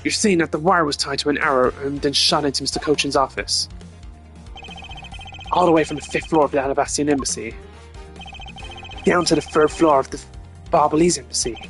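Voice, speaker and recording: A man's voice: on the high side, a little fast, pauses often, fairly bright tone, natural voice. Man doing cocky detective over game music. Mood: challenging. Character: cocky, combative male detective challenging the prosecutor.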